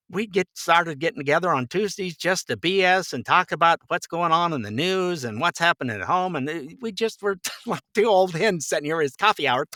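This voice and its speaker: A man's voice: fairly high pitch, sharp tone, no echo. A middle-aged or older man chatting casually as a podcast guest, a jovial, rambling storyteller who acts out bits of dialogue. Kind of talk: casual interview chat, anecdotes.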